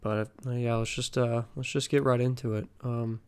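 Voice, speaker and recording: A man's voice: medium pitch, little pitch movement, normal speed, darkish tone, clean tone. A young man co-hosting a podcast in casual chat, with a stuffy, congested nose and a laid-back, joking way of talking. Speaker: podcast co-host.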